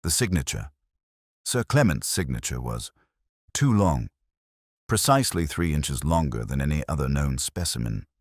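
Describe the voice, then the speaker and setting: An anxious Victorian private secretary, acted out by a man reading an audiobook. He is polite, formal and precise, with a very low voice that moves expressively, in a dry recording. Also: big pitch swings, moderate pace, dry recording, exaggerated voice. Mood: uneasy.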